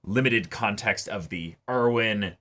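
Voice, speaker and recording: A man's voice: lowish voice, measured pace, sharp tone. A man co-hosting an anime reaction show, talking casually and sometimes reading viewer comments aloud.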